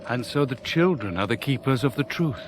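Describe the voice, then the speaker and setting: A man's voice: medium pitch, lively intonation, brisk, lightly acted. A man playing a challenging, questioning hunter in a radio drama. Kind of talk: 1980s radio drama acting. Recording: noisy archive radio broadcast.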